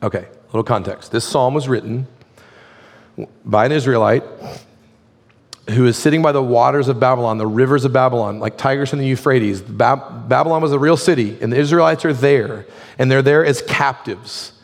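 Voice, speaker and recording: A man preaching a sermon as a pastor, his voice hoarse and his delivery conversational, full of "like" fillers. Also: medium pitch, normal speed, long reverb tail.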